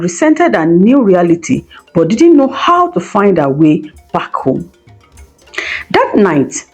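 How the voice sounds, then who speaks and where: A woman narrates a scripted folktale in a soft, mellow tone. Her delivery moves up and down with plenty of expression, and her voice has a slightly husky grain. Music plays behind the storytelling. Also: on the low side, poor audio. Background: background music.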